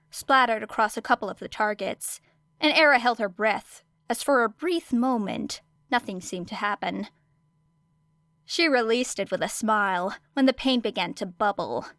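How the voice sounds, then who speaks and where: A woman's voice: medium pitch, fairly bright tone, no echo, clean studio sound. A woman reading scripted fiction as an audiobook narrator.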